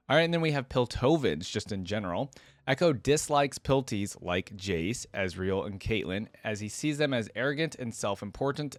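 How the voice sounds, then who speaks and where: A man's voice: lowish voice, no echo. A man hosting a reaction video, reading game lore aloud and dropping in casual, informal opinions of his own.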